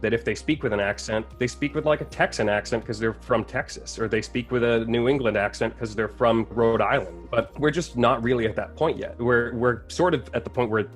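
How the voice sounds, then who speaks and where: Man, average pitch, articulate, earnest, panel discussion guest, background music. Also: a little fast, few pauses. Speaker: talk-show guest.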